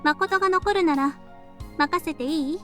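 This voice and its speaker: A woman's voice: very high-pitched, fairly quick, a bit bright. A woman voice acting a breezy, carefree schoolgirl in a Japanese visual novel, with music behind her. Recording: game dialogue over background music.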